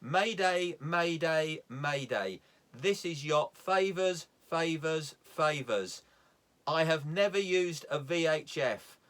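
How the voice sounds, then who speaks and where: A man's voice: fairly high pitch, lively intonation, slow pace, fairly bright tone, pitched above the performer's own voice. Man acting out an urgent, frightened wife radioing for help. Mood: urgent, pleading.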